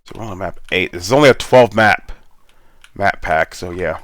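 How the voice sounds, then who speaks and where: A man's voice: low-pitched, normal speed, a bit bright, dry recording. A congested man with a sore throat, rambling in a laid-back way as he hosts gameplay commentary over a headset mic. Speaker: gaming channel host.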